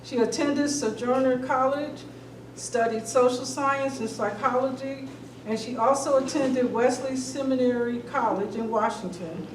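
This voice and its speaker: A woman's voice: high-pitched, unhurried. A woman emceeing a reception, reading scripted introductions of honorees. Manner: reads from a script. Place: hall at a museum reception. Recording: echoey hall recorded from far off with noise.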